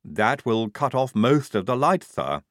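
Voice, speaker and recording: A man's voice: medium pitch, very animated, measured pace, no echo, studio quality. A man reading a story, voicing a stiff, dutiful young woman who speaks formally.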